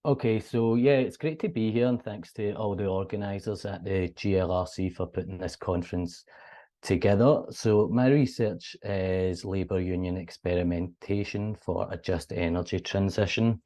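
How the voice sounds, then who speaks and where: Scottish man, young, low pitch, mellow tone, earnest academic talk, video call. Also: steady tone, normal speed. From Scotland. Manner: friendly, earnest, with casual fillers. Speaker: PhD student presenter. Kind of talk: academic conference presentation.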